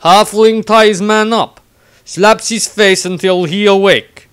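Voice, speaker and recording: Gruff warrior talking in broken grammar. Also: male voice, high voice, fairly even intonation, slow pace, fairly bright tone, pitched above the performer's own voice. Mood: blunt, amused. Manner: clipped broken grammar, blunt. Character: gruff warrior with broken speech, wryly amused, reading his diary. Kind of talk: character narration in a fantasy audio story.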